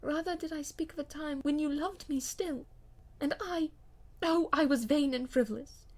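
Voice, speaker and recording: A woman's voice: high-pitched, moderate pace, pitched above the performer's own voice, close to an everyday voice. A woman voicing a proud young noblewoman who pleads with her husband in a vehement, theatrical, old-fashioned way, as in an audiobook. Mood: wistful pleading.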